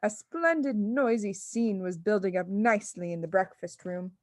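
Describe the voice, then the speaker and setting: A woman reading a children's story aloud as an expressive narrator. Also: medium pitch, moderate pace, no room sound, clean studio sound. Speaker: storybook reader.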